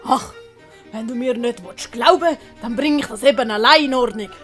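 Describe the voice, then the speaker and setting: A woman's voice: a little high, moderate pace, a bit bright, faint music behind, natural voice. A woman with a Swiss accent voicing a small, hot-tempered, loyal male companion in a children's audiobook. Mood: hurt, angry. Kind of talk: dramatised German-language children's audiobook.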